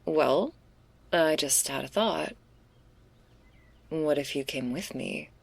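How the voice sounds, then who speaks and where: A woman playing a laid-back, restless stoner werewolf in an intimate ASMR roleplay, husky and gravelly, confiding in a casual, hesitant, slangy way. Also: deep voice, slow, heavily acted. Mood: coaxing, flirty.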